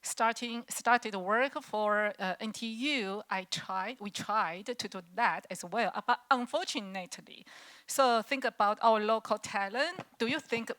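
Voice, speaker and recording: A woman's voice: a little high, measured pace, a bit bright. A woman program director answering an audience question. She often hesitates and restarts, with many "uh" fillers.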